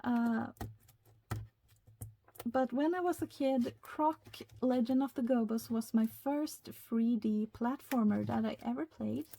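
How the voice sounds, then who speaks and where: A woman from Sweden with a Swedish accent, speaking English as a second language, chatting excitedly as she unboxes a retro game and reads the packaging aloud. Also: fairly high pitch, slow, vocal fry, a little hiss or hum. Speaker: YouTuber. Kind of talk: casual unboxing chat.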